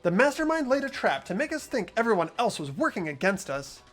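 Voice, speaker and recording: Lively-voiced host reading a game hero. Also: mid-range voice, fairly quick, faint music behind. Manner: steady deductive reading, laughs between lines. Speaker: host and lead voice actor of a playthrough. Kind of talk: narrating and voicing a game protagonist, casual chat.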